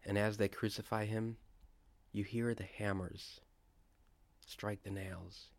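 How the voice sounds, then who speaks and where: A man leading a guided prayer meditation, speaking in a slow, hushed way as he guides listeners to imagine the scene. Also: on the low side, steady tone, creaky, no room sound.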